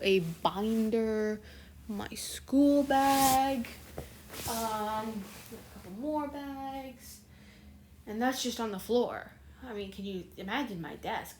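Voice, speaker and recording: A woman's voice: a little high, darkish tone, slight background noise. Chatty rambling teen girl. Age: teens. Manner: rambling, chatty, many fillers and self-corrections. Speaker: fanfiction channel host. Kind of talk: casual chat to viewers.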